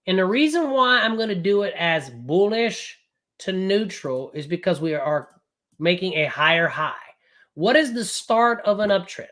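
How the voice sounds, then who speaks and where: Brash, loud-voiced man coaching traders. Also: high-pitched, lively intonation. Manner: loud, brash and profane, says it's a loud voice; casual, fast and rambling, with frequent "right?". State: recovering from a cold, voice not fully back. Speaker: day trading coach. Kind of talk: live online trading class.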